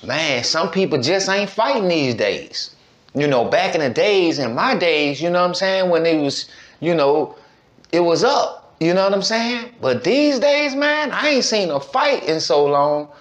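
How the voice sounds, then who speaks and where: A man's voice: a little high, animated, a little slow. A man hosting a reaction show, speaking in casual slangy commentary with repeated, admonishing phrases. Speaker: reaction podcast host.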